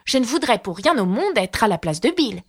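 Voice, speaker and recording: Woman voicing a plucky, animated young girl. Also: fairly high pitch, a little fast, breathy edge, heavily acted. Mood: musing. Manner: musing to herself, spirited. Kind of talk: storybook character reading.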